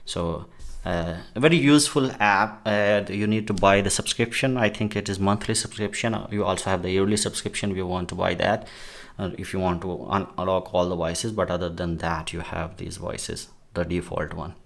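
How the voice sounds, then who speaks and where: A man giving a casual, somewhat halting product demo as a gadget reviewer. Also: low-pitched, fairly even intonation, creaky.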